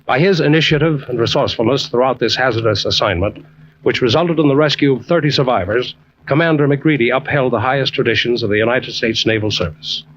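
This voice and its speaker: An actor playing a formal official reading a naval citation in a declamatory old-time radio style. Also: male voice, medium pitch, fast talker, close to an everyday voice. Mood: formal, solemn. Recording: dull, faintly noisy old radio recording.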